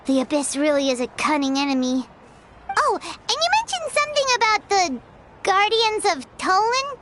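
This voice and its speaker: A woman voicing a tiny, childlike, excitable fairy companion in a video game, cheerful, boastful and squeaky. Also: moderate pace, exaggerated voice. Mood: curious, chatty. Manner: squeaky, exclaiming, refers to self in third person. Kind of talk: video game character acting.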